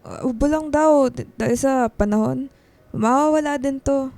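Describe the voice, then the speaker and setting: A woman's voice: sits high, moderate pace, creaky, darkish tone. A woman acting a stubborn, evasive, ailing mother in a Filipino radio drama, exclaiming and repeating herself as she brushes people off. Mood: evasive, flustered.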